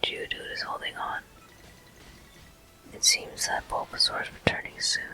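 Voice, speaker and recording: A person whispering unhurried ASMR commentary as the host of a video game walkthrough, in a soft, breathy, unvoiced voice.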